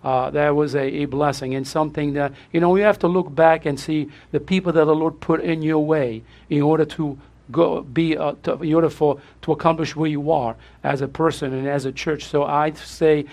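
A man's voice: fairly high pitch, fairly even intonation, moderate pace, a little mellow. A man with a Portuguese accent, a pastor welcoming his church, effusive and repetitive, laughing often.

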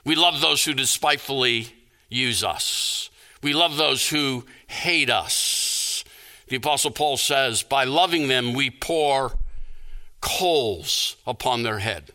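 A man preaching a sermon in an emphatic, deliberate manner, building on repeated phrases and rhetorical questions. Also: mid-range voice, bright, forceful tone.

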